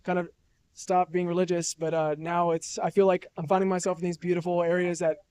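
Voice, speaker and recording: A man's voice: sits high, little pitch movement, brisk, a little mellow, clean tone. A young man from New Hampshire, a musician being interviewed at a festival, talking in a thoughtful, rambling way with many restarts. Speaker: musician guest. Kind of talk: casual interview.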